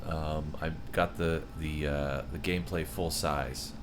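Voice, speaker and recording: Deep-voiced man streaming a game. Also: steady tone, measured pace, noisy background. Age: 30s. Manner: chatty, talks to chat viewers, reads their messages aloud. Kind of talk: casual live-stream chat while gaming.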